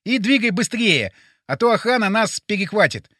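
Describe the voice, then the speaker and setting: A man voicing a bossy, excitable, self-important male sidekick with a speech impediment on his R sounds, speaking emphatically. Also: high voice, moderate pace, dry recording, studio quality, voice pushed up, heavily acted. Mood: urgent, commanding. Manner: emphatic, insistent.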